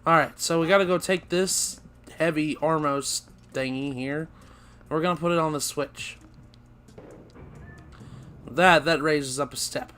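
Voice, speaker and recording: A man hosting a video game let's play, losing his voice and sounding raspy, rambling and correcting himself as he talks. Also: on the high side, a little slow, long pauses, a bit bright, faint music behind. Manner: rambling, self-correcting, chatty. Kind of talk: casual gameplay commentary.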